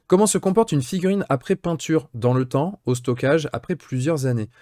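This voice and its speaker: A man's voice: on the high side, expressive, moderate pace, mellow, dry recording, studio quality. A man in his late thirties with a French accent, a hobby channel host casually reading and answering viewer questions, chatty and full of fillers. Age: 30s. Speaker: miniature painting channel host.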